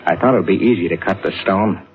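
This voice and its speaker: An actor playing a guilt-ridden, nervous murderous thief in an old-time radio crime drama, tense, faltering and dramatic. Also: male voice, low voice, fast talker. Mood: grim narrating. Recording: tinny vintage radio recording with music.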